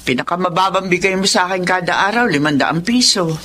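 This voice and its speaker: A woman's voice: on the low side, expressive, a little fast, a bit bright, slight background noise. An actress playing a chatty, trusting middle-aged Filipino mother in a radio drama. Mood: curious, grateful. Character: grateful, trusting, chatty mother of a poor family.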